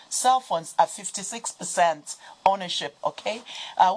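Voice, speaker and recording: A Zambian woman talking as a guest on a TV business show, explaining points one by one in a measured way. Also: lowish voice, low-quality recording. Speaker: guest from a financial development organization. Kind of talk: TV business talk show interview.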